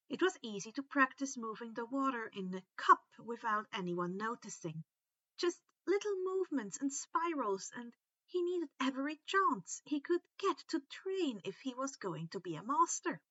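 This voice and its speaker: Bright, very animated woman reading a story. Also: high-pitched, normal speed, no echo, muffled recording. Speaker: fan fiction narrator. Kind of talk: animated storybook-style read-aloud narration.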